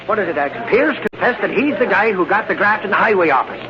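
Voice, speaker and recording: Teasing reporter in an old radio show, high voice, crowd noise behind. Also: male voice, expressive, fast talker. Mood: brisk. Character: cocky, teasing male newspaper reporter with a scoop. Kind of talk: 1930s-40s radio acting. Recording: muffled vintage radio recording, noise behind.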